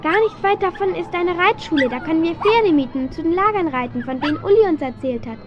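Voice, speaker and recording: A woman's voice: very high-pitched, lively intonation, quick pace, dull audio, over music. An actress playing a friendly, earnest teenage girl in a children's radio play. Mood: keen.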